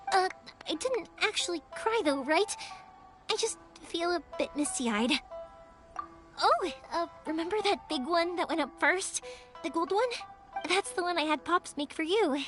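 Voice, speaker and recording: A woman voicing a cheerful, chatty young female fireworks maker who speaks with earnest warmth. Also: very high voice, expressive, measured pace, faint music behind, full character voice.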